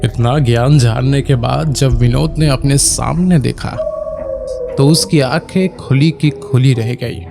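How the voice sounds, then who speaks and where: A man tells a scripted ghost story with suspense. His voice is gravelly and slow, carefully measured. Loud music plays behind the narration. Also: medium pitch.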